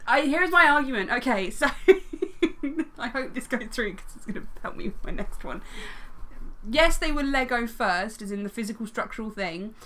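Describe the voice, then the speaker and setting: Bright, high-voiced woman chatting casually. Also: lively intonation, normal speed. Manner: jokey, self-deprecating, sweary. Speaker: podcast panellist. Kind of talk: casual chat.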